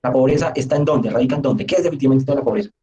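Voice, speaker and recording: A man fires off interview questions very fast in a mellow, dark tone. He stumbles and repeats words as he goes. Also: mid-range voice, muffled recording.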